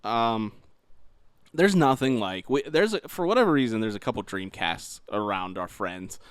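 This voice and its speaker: A man's voice: fairly low pitch, lively intonation, moderate pace, clean tone, dry recording, clean studio sound. A man co-hosting a podcast in a casual, conversational chat style. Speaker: podcast co-host.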